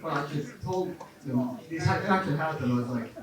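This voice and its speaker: A man's voice: on the high side, a little fast. A man who is not a native English speaker, a nervous first-time stand-up who mumbles. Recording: echoey club from far off.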